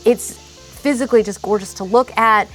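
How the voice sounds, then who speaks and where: A woman's voice: medium pitch, a little fast, fairly bright tone, soft background music, poor audio. A woman in her thirties hosting a home makeover video outdoors, chatting enthusiastically and laughing often. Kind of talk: casual on-camera chat and tips. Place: outdoors in a backyard garden.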